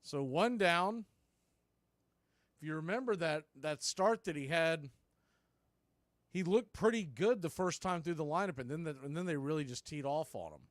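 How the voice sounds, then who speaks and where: A man calling a baseball game pitch by pitch as a fan radio host, mixing play calls with opinionated chat. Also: moderate pace, pauses often, no room sound, studio quality. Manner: conversational, opinionated fan commentary.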